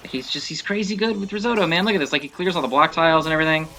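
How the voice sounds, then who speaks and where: A man's voice: on the high side, rapid-fire, pushed and bright, faint music behind, low-quality recording. A man giving casual, opinionated gameplay commentary, thinking aloud in a conversational way. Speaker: gaming video host.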